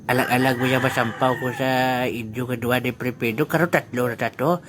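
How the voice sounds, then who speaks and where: A woman voicing a lovelorn, resigned man with halting speech in a comic audio drama, on a noisy recording. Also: a bass voice, fairly even intonation. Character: low-voiced, lovelorn man resigned to losing his courtship. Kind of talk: comedic audio drama dialogue.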